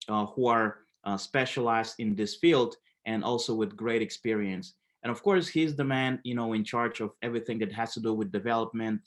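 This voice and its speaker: A man from Haiti presenting a business webinar, with many fillers and halting restarts. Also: fairly low pitch.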